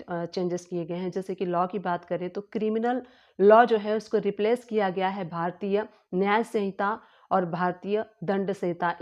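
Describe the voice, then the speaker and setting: A woman's voice: mid-range voice, a little mellow. Woman explaining tax news, muffled recording. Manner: explanatory, repetitive, hesitant with frequent fillers. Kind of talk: informal explanatory lesson. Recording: slightly dull lo-fi home recording.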